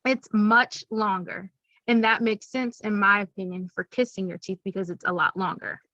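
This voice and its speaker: Young woman, bright forceful voice, dry muffled sound, upbeat teacher tone. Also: medium pitch, steady tone, normal speed, no echo. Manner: upbeat, teacherly, speaks plainly to children. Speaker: presenter to schoolchildren. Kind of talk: recorded classroom presentation.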